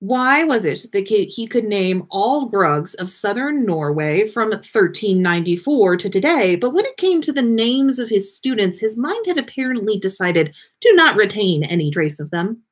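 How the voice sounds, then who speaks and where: A woman reading fan fiction aloud as an expressive storyteller. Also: mid-range voice, normal speed, few pauses.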